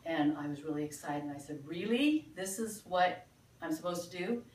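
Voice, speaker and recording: Older lady talking at a meeting, kinda low voice, lots of ums, far off mic in an echoey room. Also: darkish tone. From Philippines. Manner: halting, many fillers, earnest. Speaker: presenter at a sales meeting. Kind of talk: informal presentation.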